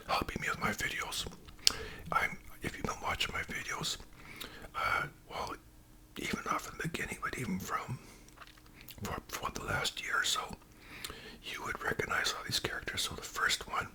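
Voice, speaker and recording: A man with a Canadian accent speaks in a breathy whisper, chatting casually and unhurriedly in ASMR style as host. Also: moderate pace, pauses often, poor audio. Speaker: ASMR video creator and host.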